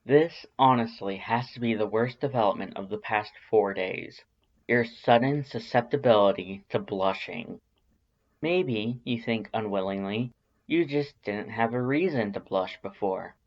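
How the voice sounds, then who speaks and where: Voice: mid-range voice, unhurried, highs cut off. A narrator reading a story aloud in a scripted audiobook style.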